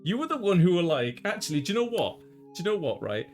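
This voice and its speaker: A man hosting a let's-play, excitable and flustered, repeating himself in playful banter. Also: sits high, fast, faint music behind. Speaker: gaming host and video editor.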